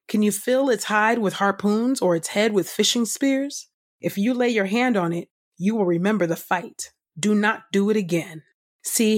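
A woman host reading scripture aloud from a script in a measured, solemn, clearly enunciated way. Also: mid-range voice. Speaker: podcast host and scripture reader. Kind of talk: scripted audio Bible reading.

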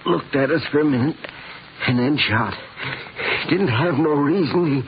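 A man playing a wounded, bewildered express clerk in a 1950s radio western, American, speaking haltingly as he labours for breath. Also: on the high side, natural voice. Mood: pained, bewildered. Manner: halting, repetitive. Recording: muffled, faintly noisy 1950s radio recording.

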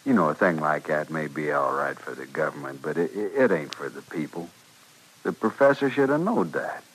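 Low, husky dying frontier storekeeper. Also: male voice, rough recording. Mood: weak, confessing. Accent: American, western. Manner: halting, plain, resigned. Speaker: radio actor. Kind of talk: 1950s radio western acting. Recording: vintage radio recording.

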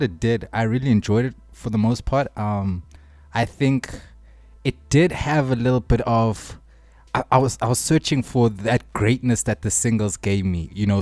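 A man's voice: on the low side, a little mellow, no echo. A man from South Africa co-hosting a podcast, talking in an easygoing, slangy way. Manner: easygoing, slangy, laughs with friends. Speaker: podcast co-host. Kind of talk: casual chat.

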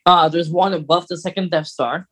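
A podcast co-host in casual fan chat, geeky and halting, getting animated when amused. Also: medium pitch. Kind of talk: casual fan chat about film lore.

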